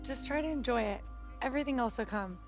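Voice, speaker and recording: A woman's voice: on the high side, fast, over music, lightly acted. A woman acting an encouraging, seasoned football teammate in a video game, casual and reassuring.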